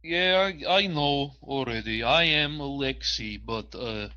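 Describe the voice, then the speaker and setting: Aloof cool guy, played by a man, terse reluctant replies, bright tone, slightly dull recording. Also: mid-range voice, a little slow. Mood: curt, dismissive. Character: curt, aloof, cool young man of few words.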